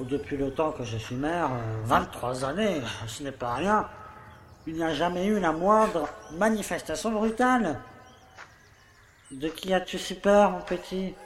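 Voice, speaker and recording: A man's voice: on the high side, close to an everyday voice. A man playing a self-satisfied older village mayor in an audio drama, with a pompous, paternal way of talking. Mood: condescending reassurance. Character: smug, falsely kindly older village mayor. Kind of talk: theatrical monologue in an audio play. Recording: echoey room, faint background noise.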